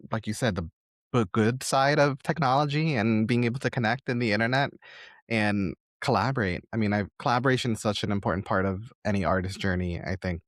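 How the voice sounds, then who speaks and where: A man's voice: fairly low pitch, no echo, clean studio sound. A man hosting a conversational podcast interview, speaking in an encouraging, supportive manner. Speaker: podcast host interviewing a guest.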